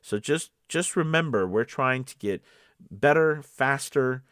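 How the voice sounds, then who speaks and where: A man's voice: mid-range voice, animated, measured pace, a bit bright, clear, resonant tone, no room sound, studio quality. A man speaking as a voice-over coach, giving casual, conversational advice and repeating his points for emphasis. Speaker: voice-over coach and casting professional. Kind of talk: casual instructional advice to camera.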